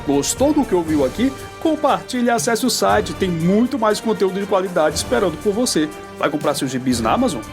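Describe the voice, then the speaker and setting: A man with a Brazilian accent announces a scripted podcast outro. His voice is high and swings animatedly in pitch, with music playing clearly behind him. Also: very animated, a little fast.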